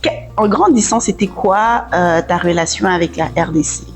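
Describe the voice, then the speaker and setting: Woman, interview host, medium pitch, informal conversational style, faint music. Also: moderate pace. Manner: informal, drops English phrases into French. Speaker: host interviewer of a show about returning to the homeland. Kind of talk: casual interview chat.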